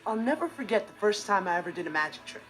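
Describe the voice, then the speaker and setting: A man's voice: sits high, sing-song, quick pace, a bit bright, rough recording. An actor playing an earnest, awkward teenage boy with an American accent, delivering theatrical comedic monologues. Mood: showy. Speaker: sitcom lead. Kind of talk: scripted comedic monologue to camera. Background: music.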